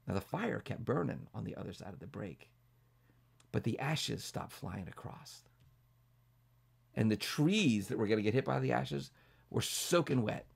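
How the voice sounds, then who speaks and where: Very gravelly, husky male storyteller. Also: fairly low pitch, animated, normal speed, long pauses. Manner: dramatic, repetitive build-up, hushed to emphatic. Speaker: pastor and storyteller. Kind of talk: children's bedtime storytelling.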